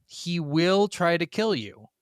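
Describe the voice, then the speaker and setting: A man with a slightly high voice talks casually as a podcast co-host about games. The sound is dry and close, and he tells stories informally, with plenty of swearing. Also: big pitch swings, slow pace, clean tone, no echo. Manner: informal storytelling, swears freely. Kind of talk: casual gaming podcast chat.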